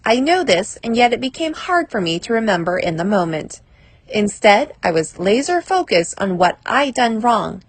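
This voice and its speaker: A woman reading a personal advice essay aloud as scripted narration, in a measured, deliberate way. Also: fairly high pitch, husky, no echo.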